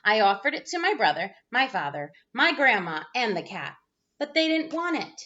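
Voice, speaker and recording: Female storyteller for young children, high bright voice, dramatic delivery, slightly dull lo-fi recording. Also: sing-song, moderate pace. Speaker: teacher reading a picture book aloud. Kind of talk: animated children's read-aloud.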